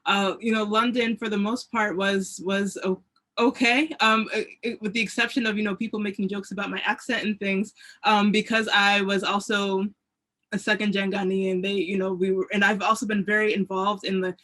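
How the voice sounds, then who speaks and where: American woman researcher, monotone, measured panel talk. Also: medium pitch. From United States. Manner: thoughtful, frequent fillers. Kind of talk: academic panel discussion.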